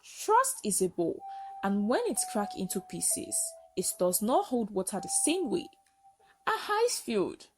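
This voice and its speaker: A woman narrating a folktale as a storyteller, with earnest, expressive and dramatic delivery. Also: medium pitch, measured pace, over music.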